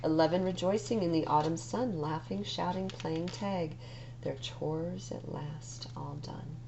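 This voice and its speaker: Female story-time reader, gravelly edge, expressive and measured picture-book delivery, noisy humming recording. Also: low voice, lo-fi sound. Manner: expressive, deliberate storytelling for young children. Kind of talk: read-aloud picture book narration.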